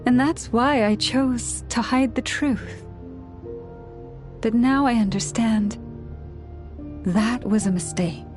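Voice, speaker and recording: An actress voicing a solemn, gentle moon priestess in a video game, speaking in a measured, formal and earnest way. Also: female voice, fairly high pitch, music in the background. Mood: pained, earnest. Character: solemn, gentle young woman, devout moon priestess of a clan. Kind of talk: video game character dialogue.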